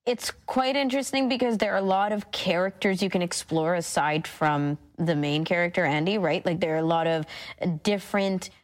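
A woman's voice: on the low side, normal speed. A woman co-hosting a radio show, introducing and discussing topics in a conversational style.

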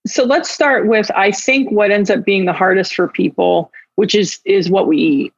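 A woman's voice: mid-range voice, normal speed, vocal fry, no echo, muffled recording. A woman hosting an interview in a casual, informal way, with meandering phrasing. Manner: informal, meandering, acts out imagined dialogue. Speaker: interviewer, podcast host.

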